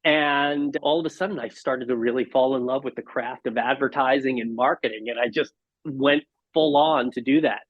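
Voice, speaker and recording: A man's voice: mid-range voice, normal speed, dry recording. Man in dull lo-fi interview audio. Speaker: podcast guest, marketing executive. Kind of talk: reflective interview conversation.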